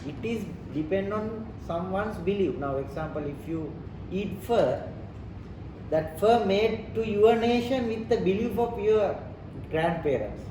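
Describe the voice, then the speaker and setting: A man's voice: high voice, expressive, measured pace. A man from Sri Lanka with a Sri Lankan accent, speaking non-native English as he explains ideas step by step, often repeating phrases. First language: not English. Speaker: interviewee explaining leadership and culture. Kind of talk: informal explanatory teaching talk. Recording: roomy, distant mic, noisy background.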